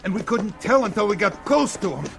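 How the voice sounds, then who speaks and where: A man's voice: sits high, animated, brisk, vocal fry, music in the background. An actor playing a colloquial, folksy tough-guy companion in a radio adventure serial. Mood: puzzled. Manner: colloquial, plain-spoken. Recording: old radio drama recording.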